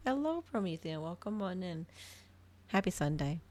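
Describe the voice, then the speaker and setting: A woman livestreamer chatting casually in a soft ASMR style, often dropping toward a whisper. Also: lowish voice, very animated, moderate pace. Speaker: livestreamer hosting an ASMR reading stream.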